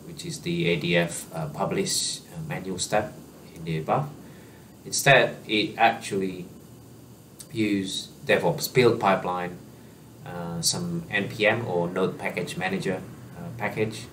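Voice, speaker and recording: Male tutorial presenter, 30s, low register, relaxed explainer with many ums and restarts, small room, slightly distant mic. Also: slight background noise. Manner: casual explaining with frequent fillers and restarts. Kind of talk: screen-share software walkthrough. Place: small indoor room at a desk.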